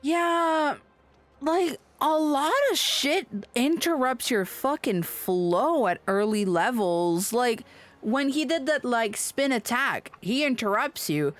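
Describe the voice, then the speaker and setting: A woman's voice: high-pitched, expressive, takes it slowly. A woman livestreaming a game, giving casual commentary with sweary, exasperated venting. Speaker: livestreamer playing an online game. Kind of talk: casual gaming stream commentary.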